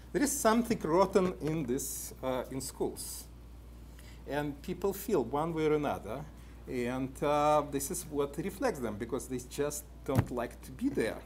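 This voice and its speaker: Lively Russian-accented man lecturing. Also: on the high side, a little hiss or hum. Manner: animated, many "uh" fillers, questions to the audience. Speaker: conference speaker. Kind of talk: lecture to a live audience. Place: indoor meeting room at a podium.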